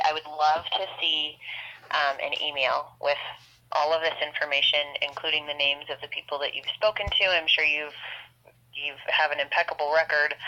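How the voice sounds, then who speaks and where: A woman working as an office secretary, speaking politely and in a measured, businesslike way over a dull, tinny phone line. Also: low-pitched, bright, forceful tone.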